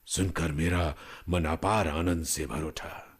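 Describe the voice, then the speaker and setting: An actor playing a benevolent, pious elderly village grandfather in a Hindi radio drama, speaking in a measured, blessing manner. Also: a bass voice, steady tone, husky, exaggerated voice. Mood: gentle, approving. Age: older. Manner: slow, measured, blessing-giving.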